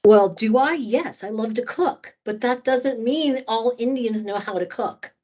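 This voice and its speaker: A woman's voice: on the high side, measured pace, a little mellow, dull audio. A woman trainer answering questions in a conversational workshop, candid and thoughtful, speaking at length. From United States.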